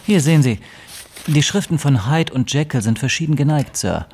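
An actor playing a deferential, astute middle-aged law clerk, polite, precise and discreet, in a radio play. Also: male voice, fairly high pitch, lively intonation, moderate pace, darkish tone, slight background noise. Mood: intent, analytical. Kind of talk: German radio play dialogue.

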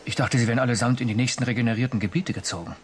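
An actor playing an anxious, stubborn official in a German radio drama, speaking in a tense, defensive way. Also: male voice, mid-range voice, very fast, a little hiss or hum, lightly acted. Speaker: government official in charge of regeneration.

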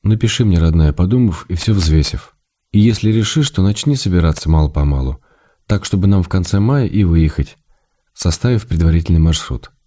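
Very deep, bright-edged wry letter writer. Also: male voice, expressive, fairly quick. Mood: tender. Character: ailing, affectionate, wry male writer reading letters to his wife. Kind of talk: dramatic reading of letters for radio.

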